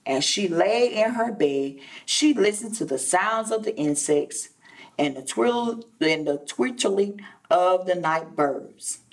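A woman teacher reading a children's storybook aloud expressively, addressing young listeners in a deliberate way. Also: on the low side, animated, far from the mic. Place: indoor classroom.

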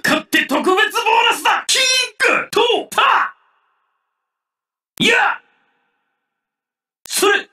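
A male actor playing a harried middle-aged sales manager in a video game, fussy and excitable, with stiffly polite office speech. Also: extremely high pitch, slow pace, long pauses, heavily acted. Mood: fierce battle cries. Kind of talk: theatrical Japanese game voice acting.

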